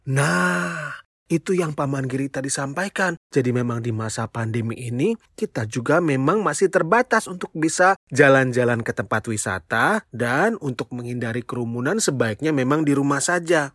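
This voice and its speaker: A storyteller telling a children's tale in an expressive, playful way, speaking straight to young listeners with exclamations and questions. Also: medium pitch, moderate pace, breathy edge, no room sound, studio quality. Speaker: children's storyteller, show host.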